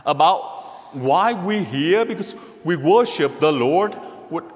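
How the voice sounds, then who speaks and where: A man with a Vietnamese accent, a priest preaching a homily at Mass, who talks slowly, repeats words and often checks in with "okay?". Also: on the high side, animated. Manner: slow, repeats words, speaks to the congregation with a gentle "okay?". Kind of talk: sermon. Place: church. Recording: long church reverb.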